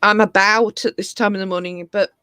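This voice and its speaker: A half-French man chatting casually as a guest on a video call stream, relaxed and quick to laugh. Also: sits high, smooth, no echo. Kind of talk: casual chat.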